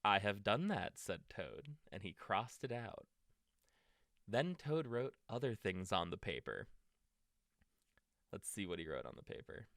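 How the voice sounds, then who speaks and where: Slow, low-voiced man reading bedtime stories. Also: very animated, dry recording, clean studio sound. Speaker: storytime reader. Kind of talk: bedtime story narration for young children.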